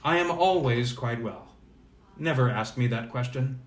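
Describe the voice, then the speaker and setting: A man voicing a magical old wise woman from a storybook, brisk, cryptic and commanding. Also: mid-range voice, natural voice. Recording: roomy, echoing sound.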